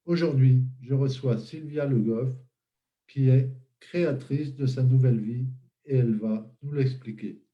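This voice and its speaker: Male host, measured and slow, dull lo-fi recording. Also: mid-range voice. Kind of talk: interview hosting.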